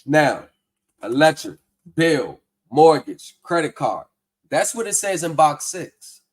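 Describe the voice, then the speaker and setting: A man's voice: a little high, sing-song, slow. A man hosting a livestream, casually walking through a tax form and skeptically picking apart bad advice. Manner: conversational, skeptical, reads form text aloud. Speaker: livestream host debunking scams. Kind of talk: casual explanatory commentary.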